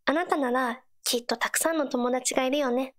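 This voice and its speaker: A woman plays an earnest teenage girl companion from a mobile game. The voice sits high and stays gentle. Short scripted lines come out supportive and encouraging. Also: steady tone, a little fast, natural voice. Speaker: game character voice actor.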